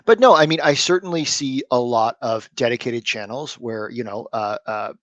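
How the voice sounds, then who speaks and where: A man answers on a panel in a loose, rambling way that is full of fillers and restarts. His voice is mid-pitched. The sound is dry and close. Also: moderate pace, fairly bright tone, dry recording, dull audio. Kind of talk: casual panel discussion.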